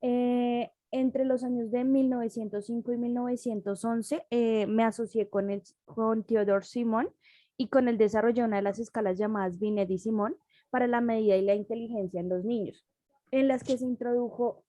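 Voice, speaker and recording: A woman playing a psychologist presents himself to a class in a fairly high, mellow voice. The delivery sounds read from notes, with hesitations and restarts, in a dry, close recording. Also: fairly even intonation, no echo, lightly acted. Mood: matter-of-fact. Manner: reads notes with pauses and self-corrections. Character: student playing a male French psychologist. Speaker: student presenting. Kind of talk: classroom role-play presentation.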